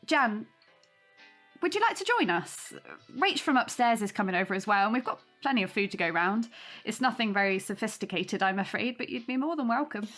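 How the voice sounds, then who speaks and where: A woman's voice: medium pitch, animated, a little fast, fairly bright tone, soft background music. An actress playing a hospitable young British mother in an audio drama, polite, apologetic and reassuring. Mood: welcoming. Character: Taylor, friendly hospitable young mother hosting Christmas. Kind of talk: naturalistic audio drama acting.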